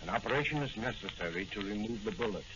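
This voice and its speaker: An actor playing a grave hospital doctor in 1940s-style radio drama, formal and measured. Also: male voice, lowish voice, animated, lightly acted. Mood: grave, discreet. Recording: noisy, muffled old-time radio recording.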